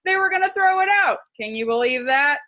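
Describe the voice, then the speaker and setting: A woman playing an excitable master of ceremonies at a fan convention, announcing like a showman in an amateur table read. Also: high voice, a little fast. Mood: proudly announcing. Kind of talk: amateur table read of a film comedy script.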